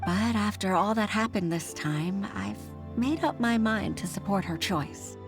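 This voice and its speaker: A woman's voice: medium pitch, lively intonation, unhurried. An actress voicing a humble, middle-aged secretary and mother in a video game, modest and a little hesitant. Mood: heartfelt. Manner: modest, hesitant, with soft laughs. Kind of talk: game voice acting. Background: game soundtrack music.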